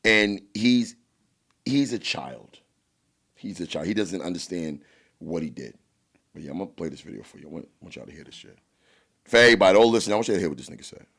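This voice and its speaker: A man with a New York accent talks in a booming, fairly low voice, his pitch swinging widely as he makes his points. He is blunt and emphatic and swears freely in a loose podcast chat. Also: expressive, a little fast, long pauses, a bit bright. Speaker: podcast co-host.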